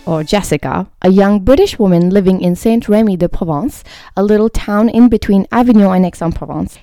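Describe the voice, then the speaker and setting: A woman hosting a podcast who gives an upbeat, welcoming scripted introduction and then asks relaxed interview questions. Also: mid-range voice, no room sound. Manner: upbeat, welcoming, drops French phrases. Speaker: podcast host.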